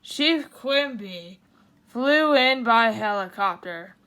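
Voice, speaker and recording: A woman hosting a bedtime story show, reading a picture book aloud with animated asides. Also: on the high side. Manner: slow, animated, comments between passages. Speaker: storyteller host.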